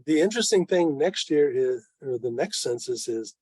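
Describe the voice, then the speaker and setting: A man's voice: medium pitch, lively intonation, normal speed, darkish tone, slightly rough, no echo. An older man in his seventies presenting informally to a club on a video call, with a wry, self-deprecating manner. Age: 70s. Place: online video meeting.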